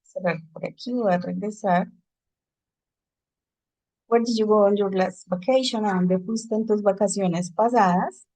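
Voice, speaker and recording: A woman's voice: medium pitch, unhurried, long pauses, dark tone, low-quality recording. Woman teaching an English class. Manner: explains in Spanish, switches to English for examples. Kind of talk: online class lecture.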